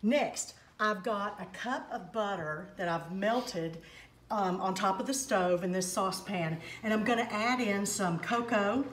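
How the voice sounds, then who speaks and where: A woman's voice: mid-range voice, a little slow. An older woman shows how to make a cake in her home kitchen. She explains each step in a homey, instructive way. Her voice bounces off the kitchen walls, with faint clatter of bowls and pans behind her. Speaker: cooking segment host. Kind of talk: home cooking demonstration. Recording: echoey kitchen with faint noise. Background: clinking pots, bowls and utensils.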